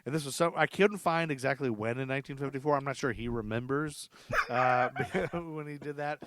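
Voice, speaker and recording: A man's voice: medium pitch, brisk, fairly bright tone. A man hosting a casual history podcast, rambling through a story and laughing often. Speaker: podcast host.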